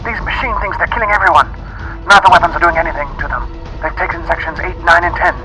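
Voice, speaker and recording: A man's voice: fairly high pitch, fast talker, close to an everyday voice, poor audio. A man playing an anxious, stubborn space station chief in a fan audio drama. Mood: alarmed. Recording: music behind radio-play voice.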